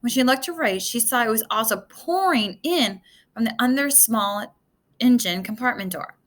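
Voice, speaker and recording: A woman reads an adventure story aloud with lots of expression. Her voice is bright and fairly high, and her pitch moves up and down in a lively way. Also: moderate pace. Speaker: storybook reader. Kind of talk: read-aloud narration of a children's adventure novel.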